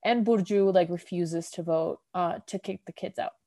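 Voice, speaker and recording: Mellow-voiced woman recapping rambling. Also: lowish voice, moderate pace. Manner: rambling, heavy use of "like", laughs often. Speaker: podcast host. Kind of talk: casual episode recap.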